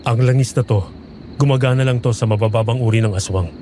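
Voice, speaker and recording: A man's voice: mid-range voice, fast talker, dark tone, a little hiss or hum, natural voice. Man acting serious, knowing young monster hunter. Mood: grave, resolute. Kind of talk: Filipino horror audio drama dialogue.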